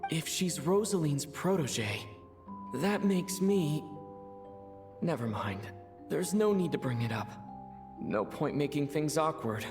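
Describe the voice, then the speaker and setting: Thoughtful young man of arms, game character. Hesitant, understated, fairly light pitch, music underneath. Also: animated, slow pace, pauses often. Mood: awkward, guarded. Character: earnest, brooding young male warrior. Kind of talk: video game voice acting.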